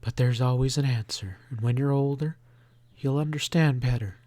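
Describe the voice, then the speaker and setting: A man reading aloud and voicing a gentle, caring farm mother who speaks softly to comfort her son. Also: normal speed, no echo, close to an everyday voice. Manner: soft, soothing, low-voiced.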